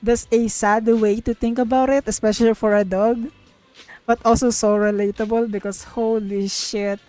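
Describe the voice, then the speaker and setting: A woman's voice: a little high, level tone, normal speed, mellow, rough recording. A woman streaming a story game, casually reading the dialogue aloud and reacting with giggly, sweary exclamations. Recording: music playing behind the voice.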